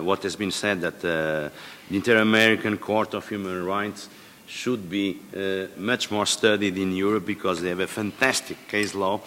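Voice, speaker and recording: Low-voiced man in a reverberant hall. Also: a bit bright, slight background noise. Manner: hesitant, many fillers and restarts. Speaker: panel expert. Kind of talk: conference panel remarks. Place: large conference hall. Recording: long-reverb conference hall.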